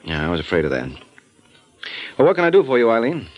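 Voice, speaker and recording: An actor playing a suave private detective in 1940s radio style, trading dry, flirtatious wisecracks. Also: male voice, mid-range voice, huge pitch swings, fast talker. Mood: wry. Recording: dull old radio recording.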